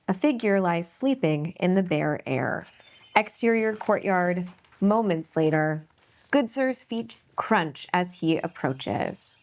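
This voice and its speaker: A woman hosting a table read, chatting briskly and then reading stage directions aloud. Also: lowish voice. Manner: brisk, upbeat, laughs easily. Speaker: host and script narrator.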